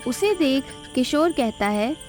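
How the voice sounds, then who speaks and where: A woman's voice: high voice, expressive, unhurried, music in the background. A woman narrating a scripted moral cartoon story as its storyteller.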